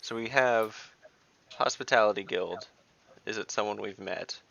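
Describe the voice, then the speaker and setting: A man's voice: on the low side, a little slow, gravelly, fairly bright tone, lo-fi sound. A man playing in an online tabletop game, thinking aloud and reasoning through options. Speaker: player. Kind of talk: casual online tabletop chat.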